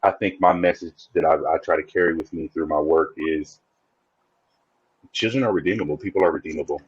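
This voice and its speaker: A man's voice: rumbling low, steady tone, brisk, husky, no room sound, muffled recording. A man guesting on a podcast, talking reflectively in a casual interview and often restarting his sentences. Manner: reflective, speaks in restarts and repeats.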